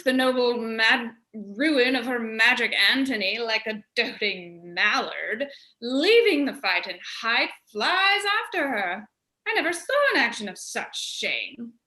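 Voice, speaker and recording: A disgusted soldier played by a woman rails with scorn in Shakespearean style. The voice is high and forceful, echoing in a roomy space, as part of a radio play. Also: full character voice. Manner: declamatory, scornful. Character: indignant, disgusted male soldier deserting his general.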